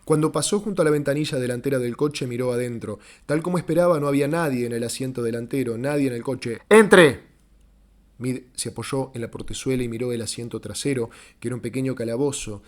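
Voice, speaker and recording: A man's voice: mid-range voice, a little mellow. A man narrating a literary short story as an audiobook, in a scripted, dramatic storytelling style.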